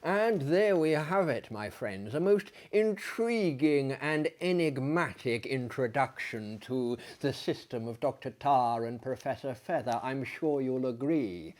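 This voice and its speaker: Slow, theatrical man reading dramatically. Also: medium pitch, expressive, darkish tone. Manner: hammy, mannered, deliberate phrasing with dramatic swells and drops. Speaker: dramatic reader and storyteller. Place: small book-lined room indoors, seated in an armchair.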